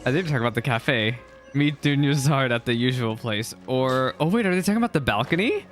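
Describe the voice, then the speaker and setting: A man's voice: medium pitch, a little fast, soft background music. Chatty young man reacting to a game. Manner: excitable, chatty, laughs often. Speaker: gaming reaction streamer. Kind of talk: casual reaction commentary.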